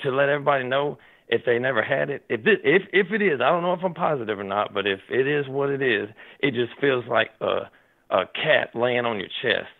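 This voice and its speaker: A man from Tennessee calling in to a talk show, his voice hoarse and raspy, talking in a rambling, animated way and saying "man" often. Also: medium pitch. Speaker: caller. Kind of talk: casual call-in chat. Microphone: phone line. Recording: tinny phone line.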